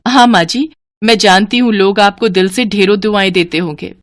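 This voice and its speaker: A woman playing a poor young mother and wife, soft and polite, in a moral story. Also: medium pitch, moderate pace, dry recording, studio quality, close to an everyday voice. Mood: admiring.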